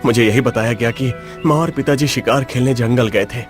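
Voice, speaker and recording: Man doing a sad lonely young prince, medium pitch, cartoon, soft music behind. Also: moderate pace, natural voice. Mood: sorrowful. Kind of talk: Hindi cartoon moral story voice acting.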